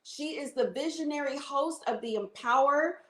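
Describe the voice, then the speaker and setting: A woman's voice: high-pitched, normal speed, fairly bright tone. Bubbly woman host in an echoey room. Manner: bubbly, upbeat, says "okay" and "y'all" often. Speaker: podcast host. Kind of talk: enthusiastic podcast interview.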